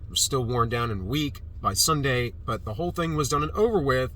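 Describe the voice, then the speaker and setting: A man's voice: medium pitch, animated, brisk, fairly bright tone. Rambling man, distant mic, street noise. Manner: rambling, dismissive, with frequent fillers and restarts. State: recovering from a cold, slightly stuffy. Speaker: vlogger. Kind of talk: casual opinion monologue.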